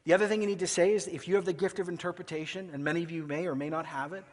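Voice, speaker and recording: A man who grew up in Ecuador preaching a sermon as pastor, conversational and animated, acting out little asides. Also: fairly high pitch, racing. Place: large auditorium. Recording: long hall reverb.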